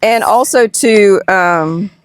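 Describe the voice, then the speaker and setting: A woman's voice: medium pitch, slow pace, no echo. A woman chatting casually as a parent co-hosting a family vlog. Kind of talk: casual chat.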